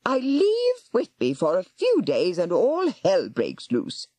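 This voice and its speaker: A woman voicing a brisk, commanding elderly aunt with a voice that cuts through. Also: fairly low pitch, huge pitch swings, mellow, no room sound, full character voice. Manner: commanding, crisp.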